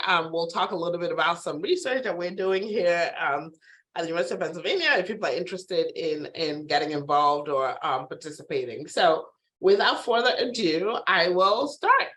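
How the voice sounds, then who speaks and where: A woman physician giving an introductory health webinar over a video call, her voice hoarse from a sore throat, conversational with frequent fillers and throat clearing. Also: fairly low pitch, a bit bright. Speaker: physician presenter. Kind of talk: webinar lecture.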